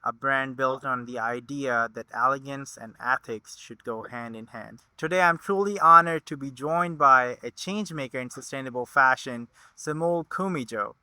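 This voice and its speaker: A man hosting a podcast interview, effusive and quick to exclaim his enthusiasm. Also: medium pitch, bright, forceful tone, smooth, no echo, rough recording. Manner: effusive, exclaims wow often. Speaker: podcast host.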